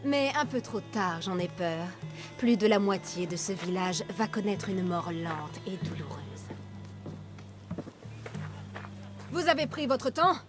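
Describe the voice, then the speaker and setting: A woman's voice: medium pitch, lively intonation, normal speed, music in the background, close to an everyday voice. A woman playing a scheming village doctor in a video game, composed and condescending. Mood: gloating. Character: scheming village doctor, coolly smug, secretly a poisoner. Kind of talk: dramatic video game acting.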